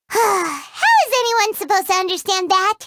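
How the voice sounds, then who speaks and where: A woman voicing a tiny, excitable, greedy female fairy companion in a game who talks about herself in the third person. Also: very high voice, unhurried, very noisy. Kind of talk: game voice acting.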